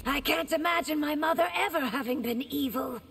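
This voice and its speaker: A woman's voice: high-pitched, brisk, creaky, distant mic, very noisy. A woman playing an earnest, guarded young noblewoman warrior in a video game. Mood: earnest, doubtful. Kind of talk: scripted game dialogue.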